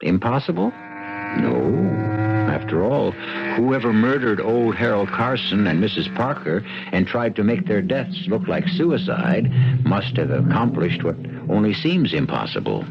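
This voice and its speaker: Man, low-pitched, dramatic scripted narration, rhetorical, wide intonation, 1970s radio sound. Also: big pitch swings, vocal fry, very noisy. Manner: musing, rhetorical, dramatic pauses. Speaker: host and narrator of radio mystery series. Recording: dull 1970s radio broadcast.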